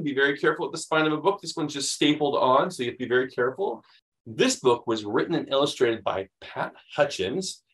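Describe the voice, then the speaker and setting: A middle-aged man with an American accent hosts a children's read-aloud. His voice sits a bit high for a man. He is expressive and explains things in a chatty way as he goes. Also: low-quality recording. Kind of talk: picture-book read-aloud with chatty asides.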